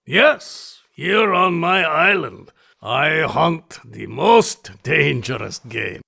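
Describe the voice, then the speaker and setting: An actor playing an alien aristocratic hunting fanatic in an audio drama, gravelly and raspy, with florid, theatrical, deliberate delivery. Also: male voice, a little high, lively intonation, pushed and bright, exaggerated voice. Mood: sly, menacing. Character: alien aristocratic hunting fanatic, obsessive, grandiose, menacing male host. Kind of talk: audio drama villain acting.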